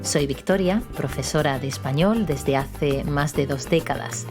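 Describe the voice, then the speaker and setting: A woman's voice: sits low, measured pace, over music. A middle-aged woman from Málaga, a Spanish teacher, hosting a podcast and interviewing a guest with encouraging backchannels. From Spain, Málaga. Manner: warm encouraging interviewer with frequent ujú backchannels. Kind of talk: scripted intro then interview questions.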